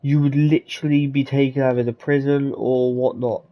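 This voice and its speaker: A man comments casually over his gameplay, rambling and restarting as he thinks out loud. His voice is mid-pitched. His mic sounds dull and lo-fi. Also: little pitch movement, normal speed. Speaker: gamer commenting.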